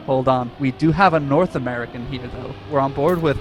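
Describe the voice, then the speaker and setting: A man's voice: mid-range voice, a little fast, background noise. A man co-casting an esports stream in a casual, joking, mock-earnest style. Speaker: esports co-caster.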